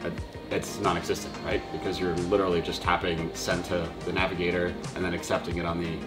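A man's voice: low voice, level tone, fast talker. A young man, a pilot, explaining things casually and often checking in with a tag question. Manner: frequently tags statements with "right?". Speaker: pilot explaining flight planning. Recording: background music under speech.

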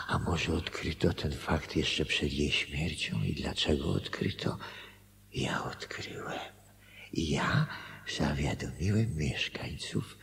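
An actor playing a self-deceiving husband in a Polish radio drama, thinking aloud, hesitant and anxious to justify himself. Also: male voice, rumbling low, gravelly, exaggerated voice.

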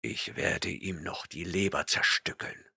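A man's voice: low voice, steady tone, a little slow, creaky, pushed and bright, slightly rough, heavily acted. A man voicing a burly barbarian warrior who growls and mutters his questions, impatient and menacing, in an audiobook reading. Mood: gruff.

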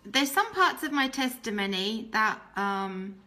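A woman in her thirties giving an informal personal testimony to camera, speaking in an earnest, reflective, halting way and repeating phrases for emphasis. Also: a little high, expressive, pushed and bright. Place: small room at home, indoors. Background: music.

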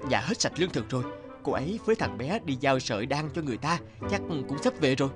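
An actor playing a meek, naive young poor villager in a fairy-tale cartoon, speaking earnestly and plaintively. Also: male voice, medium pitch, animated, fast, over music, lightly acted. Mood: hopeful.

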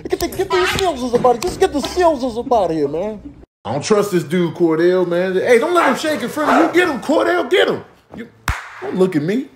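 A man's voice: very high-pitched, very animated, brisk, very noisy. A man voicing irritable, scrappy house pets in a comic animal voiceover, slangy and exasperated. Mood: irritated, combative.

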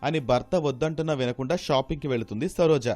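A man tells a scripted story as its narrator. His pitch sits in the middle for a male voice, and music plays behind him. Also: quick pace, warm tone.

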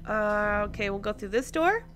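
A woman's voice: mid-range voice, animated, moderate pace, fairly bright tone, faint music behind. A woman giving casual let's play commentary as host, thinking aloud and exclaiming in surprise as she goes. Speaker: host of a gaming series.

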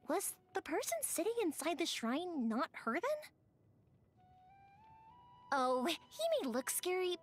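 A high, girlish video game heroine, a cheerful young fireworks maker. Her delivery swings widely in pitch, chatty and expressive, like someone eagerly sharing stories with a friend. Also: exaggerated voice. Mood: surprised, then playful. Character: cheerful, warm-hearted young woman fireworks maker, lively storyteller. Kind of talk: video game character voice lines, conversational storytelling.

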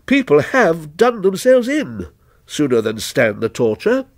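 A cold, insinuating stranger, as a male reader puts him on, pitched well above the narrator. His husky, gravelly delivery sits in a dry, close space, and he slides between sharp highs and lows. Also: huge pitch swings, a little slow, no room sound, heavily acted. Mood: needling. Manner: insinuating, needling questions. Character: aloof scornful man, coldly probing.

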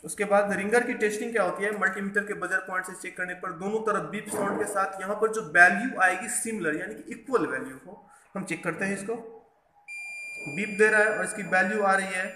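A man's voice: sits high, a little fast. A man narrating a hands-on repair tutorial as an instructor, explaining step by step and mixing in English technical terms. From India. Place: indoors at a repair workbench. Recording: echoey room, faint background noise.

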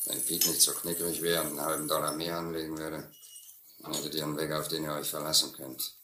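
An actor playing a scornful, grumbling member of a thieving boat gang in a German radio play. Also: male voice, rumbling low, monotone, fast, reverberant, noisy background. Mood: mocking. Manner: dismissive, grumbling.

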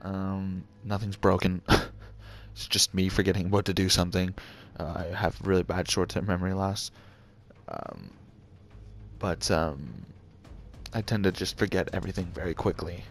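A young man with a deep voice talking quietly and hesitantly to his viewers as a gaming channel host, with many ums and uhs. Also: animated, moderate pace, creaky, slight background noise. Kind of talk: casual talk to viewers.

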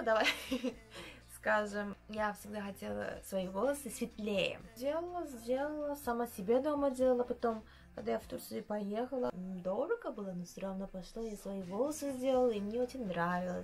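A young woman from Uzbekistan with a Turkish-tinged accent in Russian, chatting casually while doing her makeup in her bedroom and often pausing to search for words. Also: mid-range voice, vocal fry. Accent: Turkish-influenced Russian. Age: young adult. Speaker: beauty vlogger. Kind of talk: casual makeup vlog chat. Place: small bedroom indoors.

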